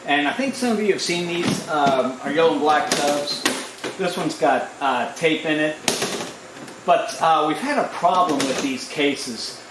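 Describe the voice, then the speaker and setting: Man explaining gear with echoey noise. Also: fairly high pitch. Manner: plain, practical, frequent "uh" pauses. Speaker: live sound engineer. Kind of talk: casual how-to vlog. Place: outdoors at a brewery gig.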